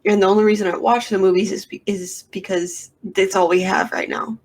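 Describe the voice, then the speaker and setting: A woman's voice: medium pitch, fairly even intonation, a little fast. A woman hosting a casual solo podcast from her bedroom, rambling with lots of fillers and restarts into a sensitive microphone. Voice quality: raspy. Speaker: podcast host. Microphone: sensitive studio microphone.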